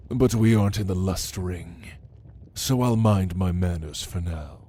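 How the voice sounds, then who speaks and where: A man playing a haughty, hungry demon who was a prince's right hand, speaking slowly and intimidatingly to the listener in an ASMR audio roleplay. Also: low voice, warm tone, slight background noise. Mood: dry, teasing. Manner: slow, deliberate, intimidating, dryly teasing.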